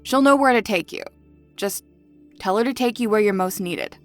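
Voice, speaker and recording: A woman playing a brisk elven clan leader in a fantasy audio story, speaking in a breezy, offhand, commanding way. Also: medium pitch, soft background music, lightly acted. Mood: offhand, instructing.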